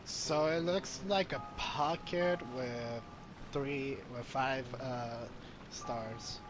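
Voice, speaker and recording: A man's voice: mid-range voice, drawn-out words, noisy background. A man giving casual live gameplay commentary, hesitant and thinking aloud as he goes. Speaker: game streamer. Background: game music.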